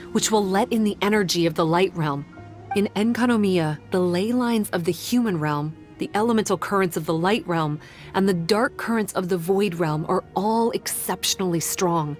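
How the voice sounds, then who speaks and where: A woman playing a composed, formal priestess-like guide who explains things in a measured way in a video game. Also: on the low side, natural voice. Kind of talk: English video game dialogue.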